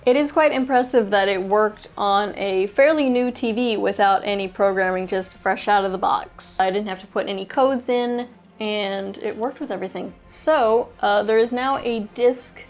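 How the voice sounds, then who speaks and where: A woman's voice: medium pitch, moderate pace. A woman with a mid-range voice hosts a casual toy review over music. She talks in a chatty, unscripted way, thinking aloud as she handles each item.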